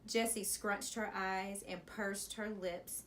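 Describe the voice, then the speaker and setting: A woman reading a children's chapter book aloud as a teacher, in an expressive storytelling style. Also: mid-range voice, slow, a little hiss or hum.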